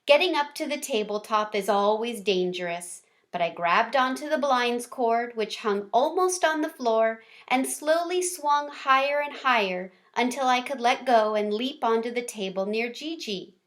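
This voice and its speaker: A woman narrates a children's story in a somewhat high voice. She reads expressively, leaning on key words as a read-aloud storyteller does. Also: animated, a little slow, hardly any pauses.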